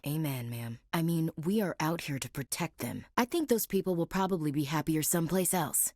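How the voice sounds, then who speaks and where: A woman's voice: low voice, dry recording. A young American woman playing a tough, candid female space marine in a video game, with a frank, dry manner and military politeness. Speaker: game character, soldier. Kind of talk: naturalistic video game voice acting.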